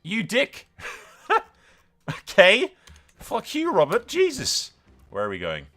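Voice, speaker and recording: A man hosting a gaming video, giving casual, excitable and sweary commentary with some roleplay storytelling. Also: high-pitched, takes it slowly.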